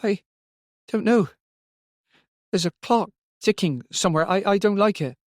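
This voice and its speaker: Man acting scared small girl, audiobook character, high voice, hesitant, bewildered. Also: measured pace, no room sound, studio quality. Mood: bewildered, frightened. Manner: hesitant, faltering. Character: frightened, bewildered little girl, whimpering or dully trance-like.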